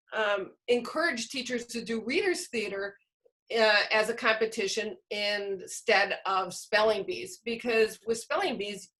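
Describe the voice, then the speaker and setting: A woman with a fairly high-pitched voice leads an online workshop for teachers. She explains things step by step and puts questions to her listeners as she presents over a video call. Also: sharp tone, low-quality recording.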